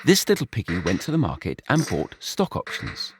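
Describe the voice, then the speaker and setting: A man's voice: medium pitch, wildly swooping pitch, moderate pace, noisy background. A man reading a comic short story aloud in a wry, dry storytelling manner. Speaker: narrator. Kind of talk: storybook narration.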